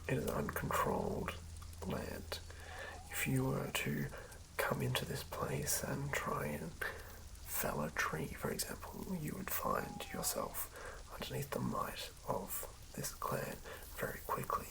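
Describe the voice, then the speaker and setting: A person whispering softly in an ASMR style, narrating a tabletop character build in a breathy, creaky hush. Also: mid-range voice, wildly swooping pitch, takes it slowly, poor audio. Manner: hushed whispering. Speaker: ASMR creator.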